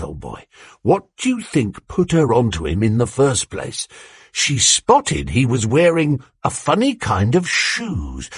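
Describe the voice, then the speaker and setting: A bluff, cheery zealot of a neighbour, put on by a man. He is chummy and eager, and his lively voice leaps about with a husky grain in a dry, close sound. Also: medium pitch, no room sound, voice pushed up, heavily acted. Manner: cheery and eager, chummy "old boy" talk. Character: hearty, bluff, sweaty zealous male neighbour.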